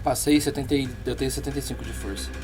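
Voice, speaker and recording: A man's voice: medium pitch, steady tone, rapid-fire. Brazilian man playing tabletop, music behind. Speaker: tabletop game player. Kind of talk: casual first-person description of game actions.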